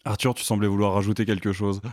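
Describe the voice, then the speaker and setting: Low-voiced man hosting a casual interview. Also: fast. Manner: relaxed, teasing questioner. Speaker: podcast host.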